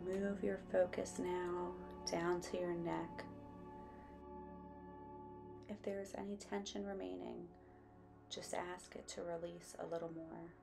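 A woman narrating a guided meditation in a gentle, soothing, unhurried manner. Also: on the low side, flat delivery, vocal fry, over music.

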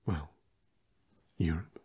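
A man playing a pompous, fussy aristocratic vampire, theatrical and archaic, in a soft ASMR roleplay. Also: drawn-out words. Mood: fussy, condescending. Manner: theatrical, archaic, hesitant asides.

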